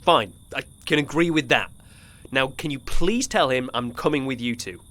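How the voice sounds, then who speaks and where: A man's voice: mid-range voice, moderate pace, a bit bright, lightly acted. A man playing an awkward, defensive policeman on leave in an audio drama, stammering and easily flustered. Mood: hurt.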